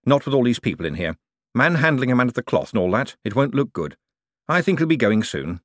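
Reformed con man in office male reader performing: nimble, courteous, persuasive, animated pitch, storybook comedy. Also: a little fast, no echo, studio quality. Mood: urgent. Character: quick-witted male swindler turned bank official, smoothly polite.

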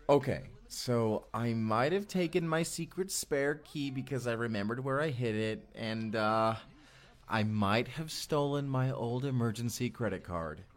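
A man's voice: mid-range voice, close to an everyday voice. An actor playing an earnest, anxious young man stranded from the future, talking in a hesitant, rambling, conversational way in an audio roleplay. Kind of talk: first-person audio roleplay acting. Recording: music behind the voice.

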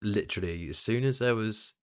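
Deep, slightly croaky British man. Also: fairly quick. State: tired and groggy after a night out. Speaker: podcast host. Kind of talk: casual chat about video games.

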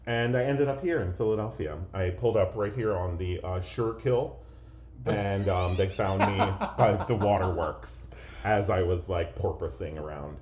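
Low-voiced laid-back man in a roomy room. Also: moderate pace, a crowd in the background. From Pennsylvania, USA. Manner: laid-back, joking, many fillers. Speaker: podcast co-host. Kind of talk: casual banter podcast chat. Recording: roomy echo.

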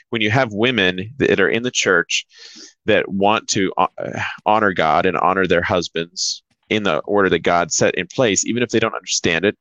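A man's voice: low-pitched, a bit bright, dry recording, dull audio. A man co-hosting a church talk show, chatting casually in a rambling way. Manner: rambling, many fillers and restarts. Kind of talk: casual chat.

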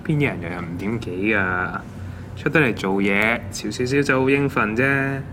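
An actor with a Hong Kong accent playing a pushy, condescending office boss who nags and preaches at junior staff in an audio drama. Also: male voice, fairly low pitch, normal speed, over music, lightly acted. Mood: pushy, patronising. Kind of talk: Cantonese audio drama acting.

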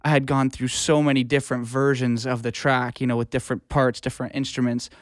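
A man's voice: medium pitch, little pitch movement, brisk, no echo, studio quality. A man speaking casually as a music producer being interviewed, explaining things informally with examples. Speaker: music producer and audio engineer guest.

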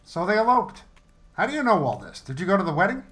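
A man's voice: high voice, sing-song, quick pace, a bit bright. A man playing a naive, eager, meddling office buddy in a comedic audio play, guileless and nosy. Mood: puzzled, nosy.

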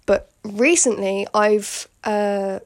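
A woman's voice: mid-range voice, steady tone, extremely slow. A British woman in her late teens talks candidly in a casual solo podcast, rambling with lots of fillers and asides to the listener. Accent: British English. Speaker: podcast host.

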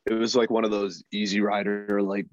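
A man's voice: fairly low pitch, flat delivery, moderate pace. A man who plays in a band, casually telling profane, self-deprecating anecdotes as an interview guest. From Canada. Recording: dull lo-fi sound.

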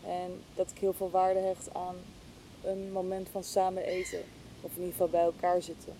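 A woman's voice: completely flat delivery, moderate pace, mellow, poor audio. A woman chatting casually and reflectively, thinking aloud in a hesitant, searching way. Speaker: interview guest, podcast hostess. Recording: clear low rumbling background noise.

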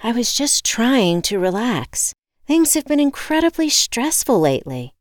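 Woman acting a stressed, snappy woman, voice jumping high. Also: big pitch swings, normal speed, smooth, dry recording, studio quality, lightly acted. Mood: defensive, irritated. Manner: exasperated, snappy, loud outbursts. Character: stressed, irritable woman locked out of her house. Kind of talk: acted audiobook dialogue.

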